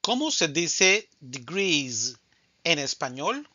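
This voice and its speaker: A man teaching Spanish vocabulary in a scripted drill, speaking slowly and deliberately and saying each answer twice. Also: fairly high pitch, sing-song, bright, forceful tone, dry recording, dull audio.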